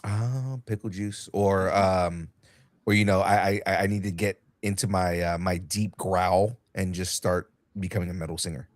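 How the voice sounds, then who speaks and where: A young man hosting a casual podcast, excitable and swearing freely, with a light cold and a slight cough. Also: low-pitched, moderate pace, no room sound. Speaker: podcast host.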